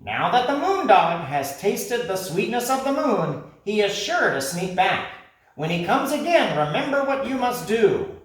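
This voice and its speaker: A bold, bossy storybook parrot , put on by a man, who squawks and cackles high above the usual voice. The pitch leaps all over the place. It rings through an echoey room. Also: very animated, a little slow, far from the mic. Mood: knowing. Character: cheerful raucous male parrot, bold and bossy.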